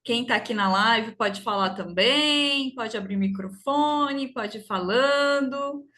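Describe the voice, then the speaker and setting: Woman host on a live video call, higher voice, inviting, hesitant. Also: takes it slowly, sharp tone. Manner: inviting, hesitant, many tag questions. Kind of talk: casual live-stream hosting.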